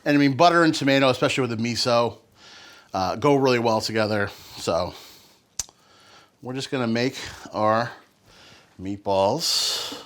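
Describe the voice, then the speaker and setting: A man's voice: mid-range voice, normal speed, pauses often. A man hosting a home cooking show, talking casually and rambling into playful, joking asides. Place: home kitchen.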